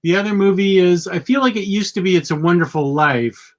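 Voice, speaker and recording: A middle-aged man hosts a live show while sick, his voice gone gravelly. He sits fairly high in pitch for a man and rambles through casual chat, correcting himself as he goes. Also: a little fast. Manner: rambling, self-correcting, chatty. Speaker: podcast host.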